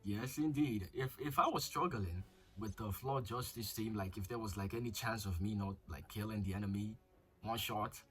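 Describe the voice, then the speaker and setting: A man's voice: lowish voice, normal speed, fairly bright tone. A young man with a flu giving casual, crude commentary over a mobile game. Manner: chatty, crude jokes, thinking aloud. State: slightly sick with flu.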